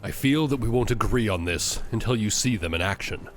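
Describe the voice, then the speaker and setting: A man playing a proud, zealous witch hunter in a fantasy audio drama, measured, formal and persuasive. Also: fairly low pitch, animated, slight background noise, lightly acted. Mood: earnest, insistent.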